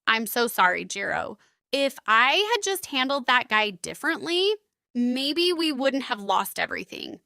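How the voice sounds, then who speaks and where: A strong, proud young cook from a romcom voiced by a woman. Her voice is high and forceful, with a dry studio sound. She is forthright and wears her feelings openly. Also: big pitch swings, unhurried, vocal fry, no room sound, heavily acted. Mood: remorseful. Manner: forthright, emotional.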